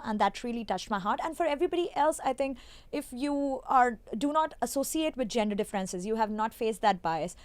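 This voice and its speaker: A woman's voice: a little high, smooth, no room sound. A woman co-hosting an interview podcast, conversational and effusive in her appreciation. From South Asia. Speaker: podcast co-host.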